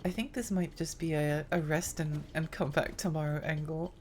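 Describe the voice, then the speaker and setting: A woman's voice: low voice, fairly even intonation, moderate pace, darkish tone. A woman streaming a game live, chatting in a rambling, giggly way while her voice strains and tires. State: strained, tiring voice.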